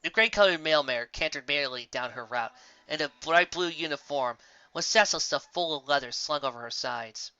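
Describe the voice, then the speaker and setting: A narrator reading a scripted fan fiction story in an expressive, dramatic way, close to the microphone. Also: medium pitch, moderate pace, clear, resonant tone, dry recording. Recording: slightly dull, dry home recording.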